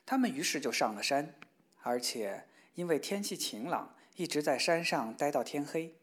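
A storyteller reading a children's fairy tale aloud in a steady, expressive way. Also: mid-range voice, normal speed, breathy edge.

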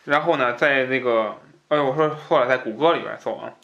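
A male podcast host with a mid-pitched voice talks casually about books. His manner is colloquial and informal, with a readiness to chuckle at his own asides. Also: brisk, creaky, a bit bright, dull audio. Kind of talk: casual book talk show chat.

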